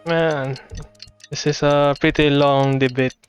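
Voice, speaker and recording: A man's voice: on the high side, flat delivery, slow, soft background music. A man speaking in laid-back, muttered asides while he plays a game. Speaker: game streamer. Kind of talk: casual aside while playing.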